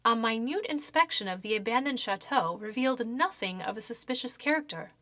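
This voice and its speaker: A woman's voice: fairly high pitch, lively intonation, brisk, pushed and bright, no echo. A woman reading a first-person horror story as dramatic scripted narration, with theatrical, precise delivery. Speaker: story reader.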